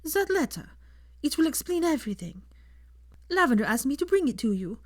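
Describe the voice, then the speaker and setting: A woman voicing a guilt-ridden, earnest young married woman in an audiobook story, speaking in halting, formal phrases. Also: sits high, very animated, dry recording, studio quality, pitched above the performer's own voice. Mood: pleading, gentle. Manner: halting, formal phrasing without contractions, breaks off mid-sentence.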